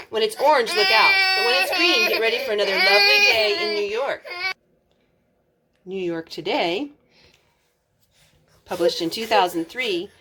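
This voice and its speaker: A woman reading a picture book aloud as a storytime host, expressive, drawing out words for emphasis. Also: on the high side. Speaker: storytime reader.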